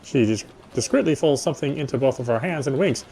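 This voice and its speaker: A man's voice: mid-range voice, lively intonation, quick pace, vocal fry, mellow. A man reading a visual novel's narration aloud with casual asides, sometimes stumbling and rereading.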